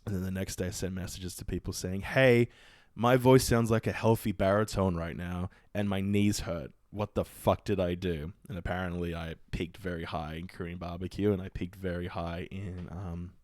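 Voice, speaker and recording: Low-voiced guy telling sweary comic stories. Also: fairly even intonation, normal speed, darkish tone, dry recording, studio quality. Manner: sweary, slangy, acts out quotes in mock outrage. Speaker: comedy podcast host. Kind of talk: casual chat, comic storytelling.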